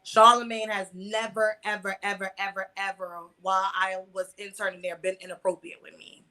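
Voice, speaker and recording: A woman's voice: medium pitch, flat delivery, moderate pace, sharp tone, rough recording. A woman in her mid twenties with an American accent, telling personal stories candidly as an interview guest, in colloquial language with repeated words for emphasis. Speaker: interview guest, online video creator.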